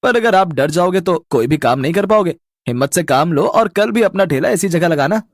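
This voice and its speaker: An earnest young cartoon local, played by a man, with a fairly high male voice. He is reassuring and urges courage, in a dry, close recording. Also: lively intonation, a little fast, no room sound. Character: encouraging, brave young local customer. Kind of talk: animated story cartoon dialogue.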